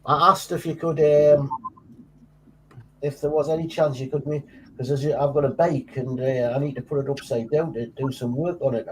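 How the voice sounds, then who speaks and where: Male panellist, Geordie accent, medium pitch, casual chat, faint background noise. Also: darkish tone, poor audio. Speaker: show regular and panellist.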